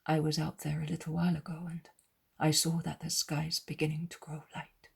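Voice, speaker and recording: A woman reading the lines of a sorrowful, gentle male teacher in a hushed whisper. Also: low-pitched, completely flat delivery, fairly quick, creaky, slightly rough, heavily acted.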